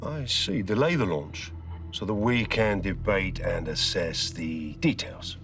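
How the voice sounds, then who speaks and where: An actor playing a ruthless, zealous security company boss in a video game, speaking in measured, emphatic speeches. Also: male voice, low voice, expressive, fairly bright tone, soft background music, heavily acted. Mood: coolly condescending. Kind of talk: dramatic video game cutscene acting.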